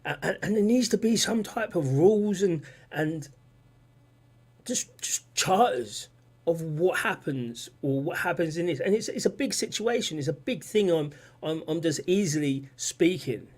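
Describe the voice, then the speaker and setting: A man's voice: fairly high pitch, lively intonation, unhurried, a little mellow. A man hosting a casual livestream, rambling and repeating himself as he talks and reads out chat comments. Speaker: livestream host. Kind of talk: casual political livestream talk.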